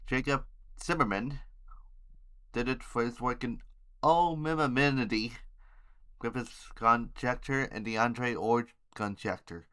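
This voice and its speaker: A man hosting an amateur news podcast, reading headlines haltingly with informal asides and stumbles over words and names. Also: medium pitch, fairly bright tone, clean tone.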